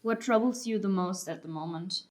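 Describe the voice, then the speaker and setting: A woman hosting a student interview show, reading scripted introductions and questions in an even manner. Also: medium pitch, moderate pace. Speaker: host.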